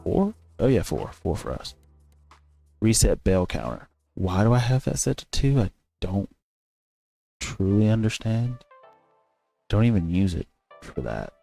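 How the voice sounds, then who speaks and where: A man's voice: lowish voice, sing-song, unhurried, vocal fry, long pauses, warm tone, soft background music. A man giving casual, rambling commentary as he plays a game, thinking aloud and correcting himself. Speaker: gaming streamer.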